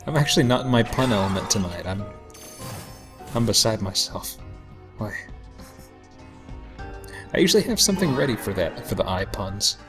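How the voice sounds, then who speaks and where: A man giving casual, somewhat halting commentary as host of a gameplay video, with a self-deprecating manner. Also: fairly low pitch, animated. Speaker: let's play host. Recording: game music behind voice. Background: video game music.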